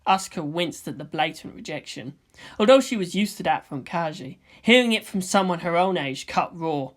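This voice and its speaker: A woman's voice: fairly low pitch, expressive, normal speed. A woman narrator reading fan fiction as scripted storytelling.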